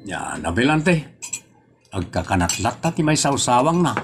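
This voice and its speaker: A man's voice: mid-range voice, very animated, moderate pace, slight background noise. A man playing a worried young husband in a comedy drama, questioning insistently with an Ilocano accent. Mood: earnest.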